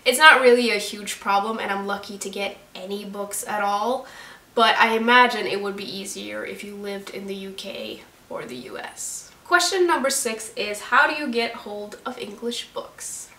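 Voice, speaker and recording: Bright-voiced Norwegian woman in a roomy space. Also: medium pitch, normal speed. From Norway. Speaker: book vlogger. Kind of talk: scripted chat to camera. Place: room with candles and plants. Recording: roomy echo, voice a little distant.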